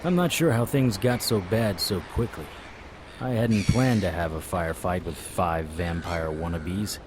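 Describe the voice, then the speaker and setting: A man with a very deep voice tells a hard-boiled story in the first person, wry and world-weary. There is plenty of dry sarcasm in his delivery. Clear noise hums behind the recording. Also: measured pace. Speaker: narrator of an audio drama, a vampire hunter. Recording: audible background noise.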